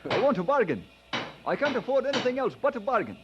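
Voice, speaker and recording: An actor playing an earnest, good-humoured young preacher in an audio drama. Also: male voice, very high-pitched, big pitch swings, quick pace, highs cut off, heavy background noise. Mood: keen, bargaining.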